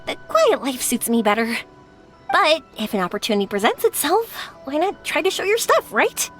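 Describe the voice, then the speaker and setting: A woman's voice: high-pitched, sing-song, moderate pace, a bit bright. A woman voicing an exuberant, chatty young artisan woman in a video game. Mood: bubbly, excited. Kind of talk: English video game voice acting. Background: faint game music.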